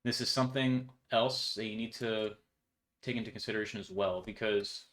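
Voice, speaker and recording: A man's voice: lowish voice, fairly even intonation, normal speed, fairly bright tone. A young man giving a casual, offhand walkthrough of code in an informal explanatory way. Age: young adult. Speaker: programmer presenting own project. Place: indoors in a home room.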